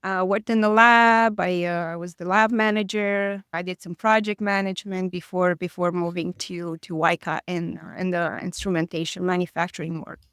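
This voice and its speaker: A woman's voice: fairly low pitch, fairly even intonation, normal speed, few pauses, fairly bright tone, clear, resonant tone, no echo, clean studio sound. A woman, a guest chatting casually in an interview, hesitant with frequent fillers and restarts. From Romania.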